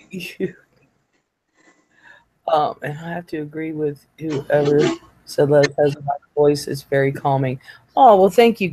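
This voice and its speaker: A woman's voice: low voice, darkish tone, a little hiss or hum, rough recording. A woman hosting a livestream in casual chat, chatty and laughing, with many fillers and free swearing. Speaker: livestream host.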